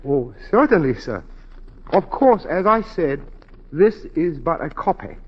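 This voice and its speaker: An actor playing a discreet, obsequiously polite confidential clerk with formal, measured speech in a 1940s radio drama. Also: male voice, on the high side, very animated, husky, full character voice. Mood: deferential, measured. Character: discreet, deferential male confidential clerk, quietly cunning. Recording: muffled 1940s radio recording.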